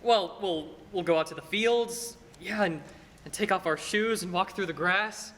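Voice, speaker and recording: A young man acting a restless, defensive small-town man in a stage radio play, stammering and halting as he goes. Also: sits high, fairly bright tone. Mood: eager, dreamy. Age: teenager or young adult. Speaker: high school student actor. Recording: echoey hall with background hiss.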